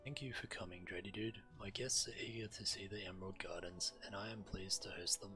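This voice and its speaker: A man's voice: low voice, steady tone, brisk, bright, forceful tone. A man streaming a video game, reading the quest text aloud. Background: game music.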